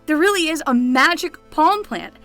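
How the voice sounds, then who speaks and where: A woman's voice: sits high, animated, moderate pace, fairly bright tone, faint music behind. A woman playing an earnest, exasperated young summer nanny and reluctant detective's assistant in a scripted fiction podcast, talking in a conversational way. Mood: alarmed, urgent.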